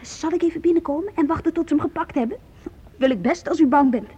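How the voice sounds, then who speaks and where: An actress plays a nosy schoolgirl in a radio drama. Her voice is very high and childlike, chattering eagerly with a ghoulish streak. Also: quick pace, dark tone, muffled recording, natural voice. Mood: ghoulish, chatty. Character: chatty, nosy young girl with ghoulish imagination. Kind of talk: radio play acting.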